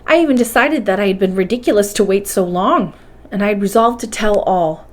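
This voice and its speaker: A woman reading a bedtime story aloud with expressive, carefully enunciated narration. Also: medium pitch, a little fast. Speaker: storybook reader.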